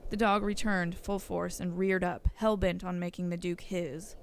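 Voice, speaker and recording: A woman's voice: fairly low pitch, fairly even intonation, moderate pace, creaky. A woman reading scripted storybook narration for an audio drama, on a noisy recording.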